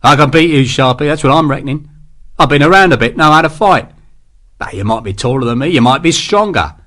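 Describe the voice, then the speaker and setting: A man doing a brutal army sergeant with a coarse, sneering voice that swings widely up and down. He bullies and schemes in rough barrack-room slang, in a storybook reading. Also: on the high side, expressive, fairly quick, a bit bright. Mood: menacing.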